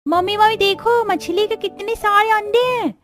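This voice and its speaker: Woman voicing an excited, high-pitched little boy. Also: moderate pace. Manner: eager, exclaiming.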